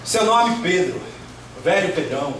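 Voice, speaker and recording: A man's voice: sits high, sing-song, unhurried, a bit bright. A Brazilian man preaching a sermon as a pastor, with emphatic, repetitive phrasing. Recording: distant, very echoey room, faint noise.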